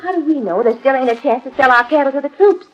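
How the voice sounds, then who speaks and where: Woman playing a stubborn young american cowgirl boss, high firm voice, old radio western acting. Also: fast, dull audio, natural voice. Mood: determined. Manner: firm, folksy speech.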